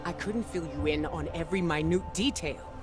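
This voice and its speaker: A woman voicing a composed, thoughtful mystic mentor in a video game, speaking in a measured way. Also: low-pitched, music in the background, natural voice. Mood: defensive. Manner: measured, composed, self-searching. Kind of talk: video game cutscene voice acting. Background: orchestral game score.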